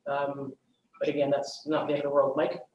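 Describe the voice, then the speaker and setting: A man's voice: medium pitch, completely flat delivery, brisk, a little mellow, roomy sound, muffled recording, slight background noise. A man chairing a council meeting, frankly stating his views and calling on others by name. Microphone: video conference mic.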